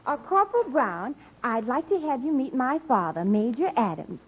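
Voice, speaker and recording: A gushing, flustered young lady with a high, bouncy voice. The old radio recording is dull and carries a clear hiss behind her. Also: sing-song, normal speed. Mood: polite. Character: sweet young woman, major's daughter. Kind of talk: 1940s radio comedy acting.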